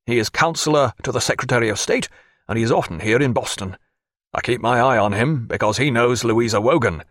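A man voicing a fretful, excitable middle-aged merchant who pleads and grumbles in a raised, fussy voice. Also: mid-range voice, fairly quick, no echo, clean studio sound, natural voice. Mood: confiding. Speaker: former loyalist and merchant.

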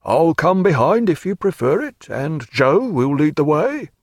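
A man's voice: fairly high pitch, very animated, unhurried, no room sound, clean studio sound, pitched above the performer's own voice, exaggerated voice. A man voicing a polite, officious bailiff who arrests a captain with soothing assurances, in a storybook reading.

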